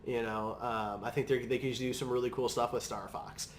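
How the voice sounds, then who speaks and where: A man's voice: medium pitch, steady tone, normal speed, echoey room. Chatty male podcast host with background noise. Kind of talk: casual film discussion. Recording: noisy room background.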